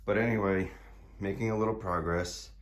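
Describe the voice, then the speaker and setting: A hoarse man casually walking through his build in a garage workshop, explaining with many fillers and restarts. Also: lowish voice, steady tone, moderate pace. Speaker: car builder showing his project.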